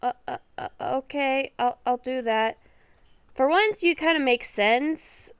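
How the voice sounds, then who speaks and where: A woman improvising in character in a game roleplay video, with a halting, exasperated way of talking. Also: sits high, smooth, no echo, muffled recording. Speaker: gaming video creator playing the lead character.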